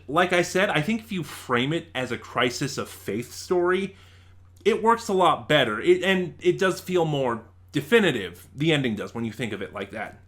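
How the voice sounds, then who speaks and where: Guy with a normal pitched voice doing a casual fan review ranking, keen, stop-start and stressing words. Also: fairly bright tone. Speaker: reviewer and channel host.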